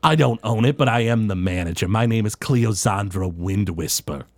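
A man voicing a flirtatious female high elf innkeeper with a husky voice who calls people darling. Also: moderate pace, dry recording, natural voice. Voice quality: husky, endearments like darling and honey.

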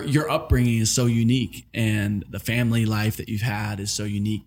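A man hosting a casual podcast interview, enthusiastic and playful, with quick affirmations. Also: on the low side, breathy edge. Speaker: podcast host.

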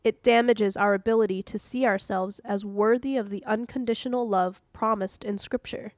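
Woman reading a devotional, calm and earnest, medium pitch, dry studio sound. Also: moderate pace, no room sound. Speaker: devotional podcast host and reader.

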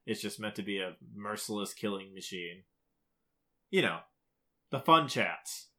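A man's voice: fairly low pitch, expressive, unhurried, sharp tone. A man co-hosting a podcast, chatting casually about a video game in an informal, opinionated way. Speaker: podcast co-host.

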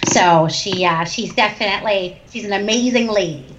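A woman's voice: medium pitch, moderate pace, highs cut off, background noise. A woman working as a technology specialist, talking casually and using filler phrases. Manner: casual, uses like and kind of. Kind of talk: casual interview answer.

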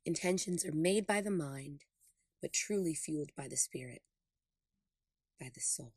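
A woman hosting a guided meditation in a breathy, soothing voice, speaking deliberately in short phrases with pauses. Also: low voice, expressive, darkish tone, no room sound, clean studio sound. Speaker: podcast host and meditation guide.

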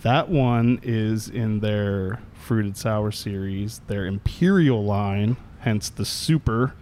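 Lowish-voiced man hosting a casual chat. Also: takes it slowly, darkish tone, slight background noise. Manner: joking, dry teasing. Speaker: podcast host. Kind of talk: casual banter among friends. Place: room with several people around mics.

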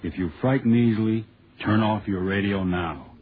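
Husky low-voiced man, calm solemn radio announcer, tinny phone-like old broadcast. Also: normal speed, crowd noise. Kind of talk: old radio drama introduction.

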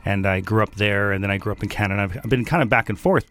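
Man from Denmark, low voice, thoughtful, halting, faint background ambience. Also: fairly bright tone, slight background noise. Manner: thoughtful, many pauses and fillers. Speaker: guest. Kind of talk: reflective interview answers.

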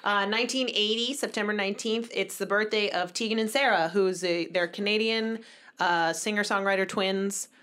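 A woman's voice: medium pitch, moderate pace. Chatty woman in her thirties reading dates. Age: 30s. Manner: chatty, reads dates with lively emphasis. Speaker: podcast co-host. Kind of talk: casual chat.

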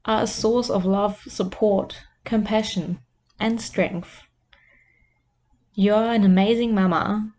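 A woman who hosts a podcast as a life coach, leading a guided meditation in a soft, soothing voice. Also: mid-range voice, vocal fry.

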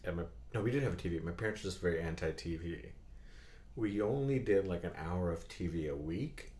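Low-voiced man in his thirties, podcast co-host, casual and reflective; roomy echo. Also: lively intonation, fairly quick, darkish tone. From Bangladesh and Saudi Arabia. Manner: thoughtful, restarts sentences, asks follow-up questions. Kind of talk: casual chat.

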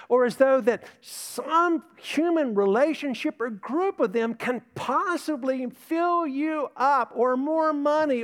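An older male pastor delivers a sermon in a fairly high voice. He preaches earnestly and emphatically, stacking repeated words as he presses each point on his congregation. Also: fairly bright tone. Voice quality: mentions tinnitus, no vocal trait.

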